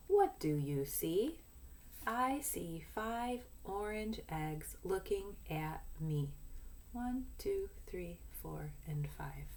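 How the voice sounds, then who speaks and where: A woman teacher giving a lesson and read-aloud for young children, explaining simply and deliberately, step by step. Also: lowish voice, a little hiss or hum. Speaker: early primary school teacher.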